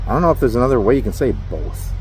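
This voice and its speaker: A man's voice: medium pitch, very animated, rapid-fire, soft background music, poor audio. A middle-aged man from Minnesota with a Minnesotan accent, talking casually to camera from his parked truck at a rest area, rambling and chuckling at himself. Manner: rambling, self-deprecating, chuckles often. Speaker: truck driver vlogger.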